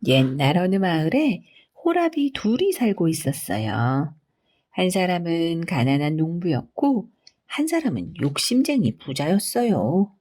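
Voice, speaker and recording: A woman reading scripted bedtime fairy tales for children in an expressive storytelling manner. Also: fairly low pitch, a little slow. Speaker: storybook reader.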